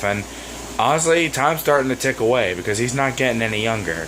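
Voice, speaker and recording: A hoarse man vlogging to his viewers, loose and rambling, with frequent ums and uhs. His pitch sits at an ordinary middle level, and his exasperated rants carry a faint hiss of background noise. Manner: rambling, exasperated, with many fillers. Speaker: sports vlogger. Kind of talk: casual ranting vlog.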